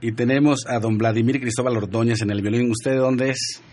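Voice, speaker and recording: A man's voice: mid-range voice, steady tone, fairly quick, darkish tone, slight background noise. A man hosting a radio show, chatting casually and teasing his guests in a jovial way.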